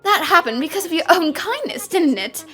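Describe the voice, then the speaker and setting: Woman acting high-voiced, confident cartoon girl detective. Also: animated, brisk, bright, forceful tone, faint music behind, exaggerated voice. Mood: assured, deductive. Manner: assured, explanatory, dramatic. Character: confident, theatrical young female detective. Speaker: voice actor. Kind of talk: fan-dubbed cartoon acting.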